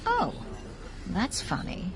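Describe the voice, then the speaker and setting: Teasing showbiz confidante, radio drama: playful, swooping intonation over a busy crowd. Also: female voice, medium pitch, huge pitch swings, slow pace, gravelly, exaggerated voice. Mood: gossipy. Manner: gushing, playful. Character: breezy, teasing showbiz woman, encouraging confidante.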